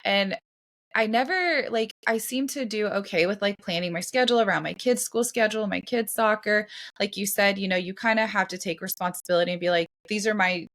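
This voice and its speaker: A woman's voice: mid-range voice, fairly quick, fairly bright tone. A woman in her thirties co-hosting a podcast, talking casually with lots of fillers and restarts. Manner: chatty, many fillers and restarts. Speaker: podcast co-host.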